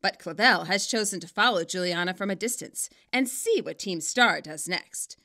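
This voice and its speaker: A woman narrating a scripted story in an expressive, wryly dramatic way. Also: on the low side, normal speed. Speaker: author narrating own fan fiction.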